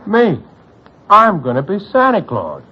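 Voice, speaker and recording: Bighearted, animated tough crook. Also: male voice, high-pitched, unhurried, husky, heavily acted. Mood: insistent. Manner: emphatic, clipped, tough-guy talk. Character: bighearted, resolute crook going straight. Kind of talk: vintage radio drama acting. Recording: muffled, noisy vintage radio recording.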